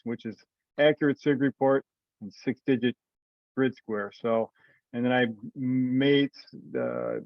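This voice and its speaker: A man's voice: mid-range voice, creaky, no room sound. A man leading an informal discussion on a remote conference call. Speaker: meeting leader. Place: remote teleconference. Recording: muffled conference call audio.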